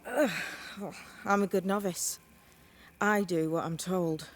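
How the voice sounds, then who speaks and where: A woman playing a blunt, sly young witch's novice in a video game, wry and teasing in a deadpan way. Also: on the low side, a little slow. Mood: weary, irritated. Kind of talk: video game cutscene acting.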